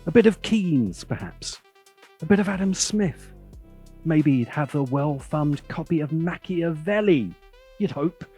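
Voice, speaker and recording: A British man narrating a scripted opinion video essay as its host, with a sardonic, theatrical and deliberate delivery. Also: a little high, lively intonation, dark tone, slightly rough, soft background music.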